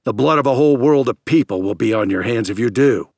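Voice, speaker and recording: A man's voice: medium pitch, lively intonation, quick pace, darkish tone, no echo, clean studio sound. A man voicing an agitated, suspicious space scientist in an audiobook, insistent and accusatory, verging on hysteria. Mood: hysterical, defiant.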